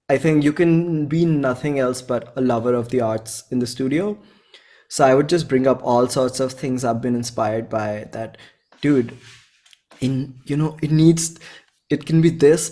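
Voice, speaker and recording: A man in his twenties with an Indian accent, chatting casually as an interview guest and retelling exchanges in slangy, animated quotes. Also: mid-range voice, measured pace. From Bhopal, India. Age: 20s. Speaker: interview guest, singer-songwriter. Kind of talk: casual chat.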